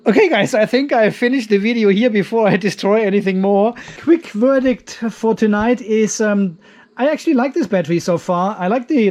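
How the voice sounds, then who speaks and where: A man's voice: high-pitched, darkish tone. A man hosting a casual vlog, chatting and thinking aloud as he reviews a home battery, with frequent restarts and self-corrections.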